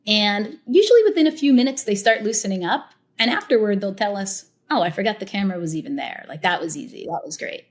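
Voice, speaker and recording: A woman's voice: mid-range voice, fast, few pauses. An American woman who educates people about tequila, talking casually and with energy as a podcast guest, with plenty of "um" and "like". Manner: chatty, animated, many "um"s and "like"s. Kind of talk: casual interview talk.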